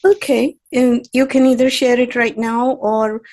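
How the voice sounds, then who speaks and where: A woman's voice: a little high, fairly even intonation, measured pace, a little mellow. A woman interviewing someone conversationally, with short questions and brief acknowledgements.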